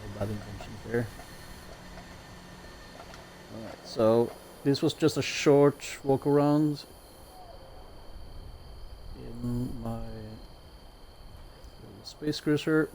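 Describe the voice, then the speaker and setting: A man with a Norwegian accent talks through his gaming session in an easygoing, chatty way, with self-mocking jokes along the way. His voice has a gravelly edge. He speaks unhurriedly, and faint noise hums underneath. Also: mid-range voice, slow, pauses often, darkish tone, rough recording. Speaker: game streamer. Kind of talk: casual commentary while playing a building game.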